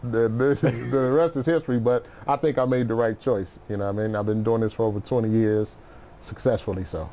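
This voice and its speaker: A man's voice: mid-range voice, steady tone, a little fast, mellow, a little hiss or hum. A middle-aged man speaking as an interview guest, chatting casually and informally with slangy storytelling. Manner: informal, slangy storytelling, often says you know what I mean. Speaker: interview guest, music producer. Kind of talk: casual chat.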